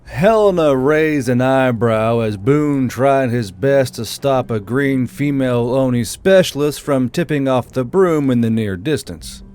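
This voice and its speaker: A man's voice: mid-range voice, lively intonation, a little slow, hardly any pauses, a little mellow, clean tone. A man reading scripted fantasy fiction as the narrator of an audiobook. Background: music.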